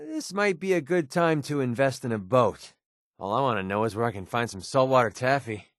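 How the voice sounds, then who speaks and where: A man's voice: mid-range voice, expressive, fairly quick, dry recording, natural voice. Man acting as wry, jaded young mercenary, dry recording. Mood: wry, casual. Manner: sarcastic, casual, grumbling. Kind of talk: video game companion dialogue.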